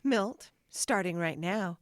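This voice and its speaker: Sly bossy wife, slow n deliberate, dry studio sound, audio drama. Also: female voice, on the low side, animated, no room sound. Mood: smugly commanding. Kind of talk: comedic audio drama acting.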